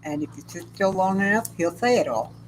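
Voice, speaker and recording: A woman hosting a casual chat, speaking with a loud, big voice while chiming in and prompting her co-host. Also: lowish voice, animated, brisk, background noise.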